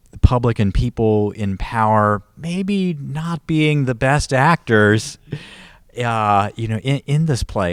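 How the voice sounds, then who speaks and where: A man's voice: mid-range voice, smooth, no room sound, clean studio sound. A man hosting an interview conversationally, with tentative, rambling phrasing. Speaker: interview host.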